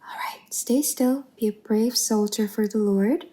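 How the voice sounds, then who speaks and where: Devout female angel caregiver played by a woman, ASMR roleplay: prim, tender, unhurried delivery, faint noise behind. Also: a little high, close to an everyday voice. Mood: soothing, tender. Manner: gentle, soothing, prim and pious, 1950s styled. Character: sweet, devout female angel rescuer tending a bunker survivor.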